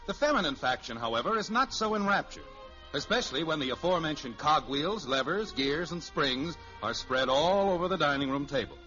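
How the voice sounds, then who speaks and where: A male announcer in 1950s radio style reads scripted narration. His voice is high and lively in pitch. Music plays behind him on a dated recording. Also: a little fast. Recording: dull old radio recording.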